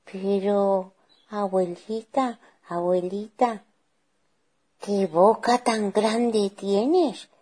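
Casting: sweet trusting small girl for a fairy tale, raised pitch, sing-song. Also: big pitch swings, a little slow, mellow, husky, exaggerated voice. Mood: puzzled, curious. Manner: childlike, sing-song, naive.

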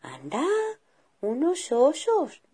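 A little lost girl chirps with wide-eyed wonder in a high, breathy voice, as a woman puts her on. Her voice bounces lively up and down. It sounds like a storybook. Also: a little slow, heavily acted.

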